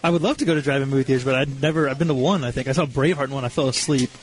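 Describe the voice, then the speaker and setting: A man's voice: medium pitch, fairly even intonation, very fast. An American man in his twenties telling stories in a casual, self-deprecating way as a guest on a radio show. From Missouri, United States. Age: 20s. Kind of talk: casual chat, personal storytelling.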